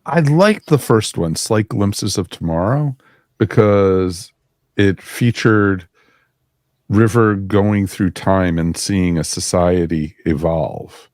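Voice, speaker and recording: A man co-hosting a casual podcast review, relaxed and agreeable as he chimes in. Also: low-pitched, slow pace, no echo, clean studio sound. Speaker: podcast co-host.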